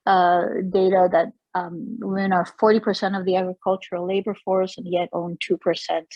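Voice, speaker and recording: Female moderator from Peru, halting delivery, low-pitched, dry and close. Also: little pitch movement, no echo, lo-fi sound. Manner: hesitant, searching for words with many fillers. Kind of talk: virtual panel discussion.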